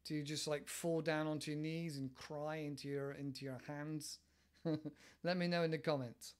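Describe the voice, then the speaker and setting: A man in his forties with an English accent, explaining idioms in a relaxed, rambling way on a home podcast, with many fillers and restarts. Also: fairly high pitch, normal speed, clean tone. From England. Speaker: English teacher podcast host. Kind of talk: casual explanatory monologue. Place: living room at home. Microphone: headset with desk mic, webcam.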